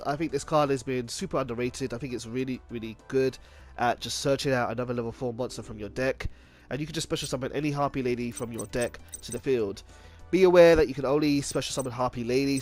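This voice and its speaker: Man, mid-pitched voice, unscripted game commentary, halting and informal, self-correcting, soft music behind. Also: steady tone. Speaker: video game deck profile host.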